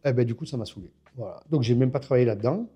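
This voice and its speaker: A man's voice: medium pitch, rapid-fire, warm tone, slightly rough, faint music behind. A man in his fifties from France, telling his story as a guest in a casual interview, with many hesitations and restarts. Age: 50s.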